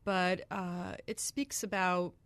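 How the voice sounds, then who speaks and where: A woman's voice: on the low side, little pitch movement, slow, a bit bright, clear, resonant tone, no room sound. A woman from Connecticut, a singer-songwriter, chatting as a guest in a radio studio interview, with many ums and easy laughs. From Greenwich, Connecticut.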